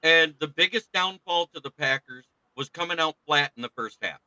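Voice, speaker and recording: A man's voice: fairly high pitch, moderate pace, pushed and bright, clear, resonant tone, dry recording, rough recording. A man hosting a casual sports talk show, chatty and laughing often.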